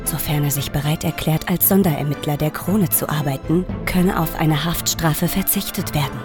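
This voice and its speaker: A woman's voice: low voice, moderate pace. A woman reading dramatic scripted narration as an introduction. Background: music.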